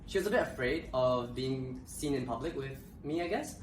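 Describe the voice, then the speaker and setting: A young Malaysian man chats through a casual panel discussion, pausing often with filler sounds. He sits some way from the mic in a roomy, echoing space, and a faint hum of ambience runs underneath. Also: medium pitch, lively intonation. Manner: hesitant, many filler sounds. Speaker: co-host. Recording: roomy echo, mic at a distance.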